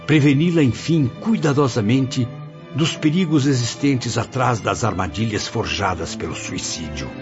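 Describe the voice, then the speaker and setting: A man's voice: medium pitch, moderate pace, close to an everyday voice. A man playing the melancholy, proud spirit of a dead writer in a radio soap, with theatrical, literary lamenting. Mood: solemn, earnest. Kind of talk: dramatic radio soap acting. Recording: muffled radio drama with faint music.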